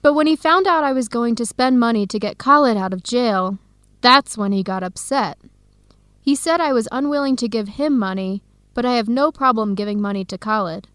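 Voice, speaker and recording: An actress playing a warm-hearted young woman in an educational radio drama, expressive and conversational. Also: a little high, normal speed, no echo, studio quality, lightly acted. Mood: frustrated, defensive. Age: young adult. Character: Helen, warm-hearted young woman, defensive about her boyfriend.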